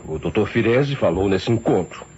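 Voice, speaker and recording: A man's voice: fairly low pitch, expressive, normal speed, dark tone, close to an everyday voice. An actor playing a dismissive, brusque businessman being questioned in an old radio mystery. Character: male real estate broker guest, dismissive, brusque witness. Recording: dull, noisy old radio drama recording.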